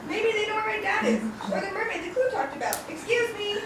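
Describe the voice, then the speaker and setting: A plucky girl adventurer, acted by a young woman in a puppet show. Her voice is very high, earnest and exclamatory, carried from far off in an echoey, noisy hall. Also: fast talker. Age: young adult. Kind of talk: live puppet show acting.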